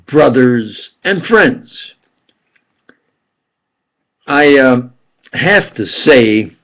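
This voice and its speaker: An older man from upstate New York with a New York accent, telling his life story in a slow, halting monologue with sudden emphatic stresses. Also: medium pitch, vocal fry, fairly bright tone, highs cut off. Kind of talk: rambling personal monologue.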